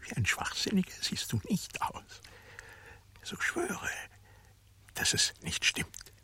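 An actor playing a weary, irascible middle-aged Roman governor in a radio drama, hoarse and half-whispering, with halting commands. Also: male voice, sharp tone. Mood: menacing. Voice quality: hoarse, breathy rasp.

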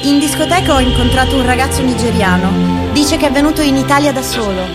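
A woman's voice: high voice, fast, close to an everyday voice. A young woman acting a candid, disillusioned city dweller in a confessional theatre monologue. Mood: candid, matter-of-fact. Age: young adult. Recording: loud music under the voice.

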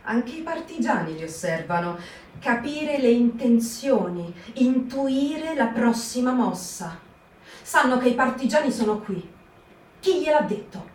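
A woman's voice: a little high, expressive, unhurried, a little hiss or hum. A woman giving a performed literary reading in a clipped, tense narrating voice. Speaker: reader. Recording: roomy live stage, distant mic.

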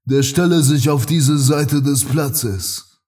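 An actor playing a stern, commanding male cult leader in a fan audio drama, speaking slowly and solemnly with a husky voice. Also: on the high side, darkish tone, far from the mic, faint music behind. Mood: solemn appeal. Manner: slow, solemn, declamatory.

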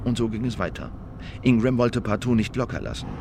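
An actor playing a male eyewitness who recounts a killing in a formal, measured, insistent manner in a scripted radio play. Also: mid-range voice, animated, creaky, noisy background. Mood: measured, recounting. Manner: formal, measured, precise testimony. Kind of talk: scripted first-person radio drama narration.

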